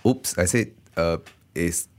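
A man from Malaysia, a startup founder, answering interview questions in a halting way, repeating words. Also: low-pitched, darkish tone.

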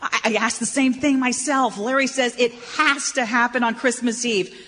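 A woman's voice: a little high, fairly even intonation, normal speed, fairly bright tone, lightly acted. A woman acting in a live radio play as an eager, earnest employee of an eccentric boss. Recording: live stage, long reverb, clear noise.

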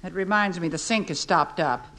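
An actress playing a blunt, wisecracking, folksy housekeeper in a 1950s radio comedy. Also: female voice, on the low side, moderate pace, lightly acted. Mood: wry, folksy. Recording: noisy vintage radio recording, clicks.

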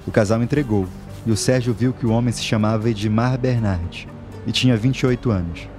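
A man tells a true-crime story in a calm, explanatory way, pitched in the middle for a man. Music runs clearly beneath his narration. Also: fairly quick. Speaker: podcast reporter and co-narrator.

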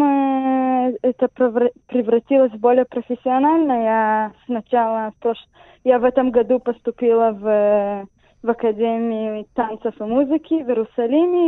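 A woman in her twenties from Israel answering interview questions haltingly, searching for words. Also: a little high, dry recording. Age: 20s. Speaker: interview guest, professional dancer. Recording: thin phone-like radio line.

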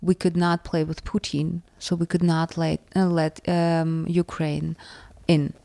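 Russian-accented woman, low creaky voice. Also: little pitch movement, unhurried, dark tone, dry recording. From Soviet Union. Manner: reflective, searching for words with many fillers. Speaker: author and interviewee. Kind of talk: thoughtful interview answers.

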